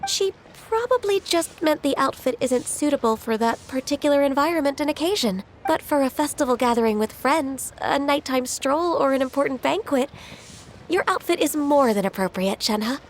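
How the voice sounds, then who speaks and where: A kind, tactful young woman in a game, speaking soothingly. The voice sits high, with faint ambient noise behind it. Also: lively intonation, moderate pace. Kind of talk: game voice acting.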